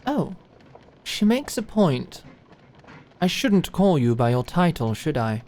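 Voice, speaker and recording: A woman's voice: deep voice, big pitch swings, slow pace, a little mellow, full character voice. A woman voicing a wry, teasing lady knight in a medieval audio drama, dry and playful. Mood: teasing, affectionate. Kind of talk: medieval fantasy audio roleplay acting.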